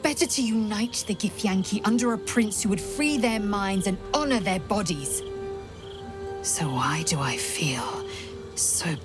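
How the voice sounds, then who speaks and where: A woman's voice: mid-range voice, expressive, measured pace, music in the background, close to an everyday voice. An actress playing a proud, fierce alien warrior woman in a video game, solemn, formal and intense. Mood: bitter, resigned. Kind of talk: dramatic video game acting.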